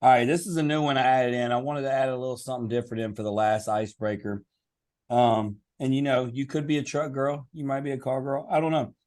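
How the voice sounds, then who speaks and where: A man's voice: medium pitch, steady tone, quick pace, darkish tone. A middle-aged man co-hosting a podcast, joking easily with his guest and often restarting his sentences. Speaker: podcast co-host. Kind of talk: casual interview chat.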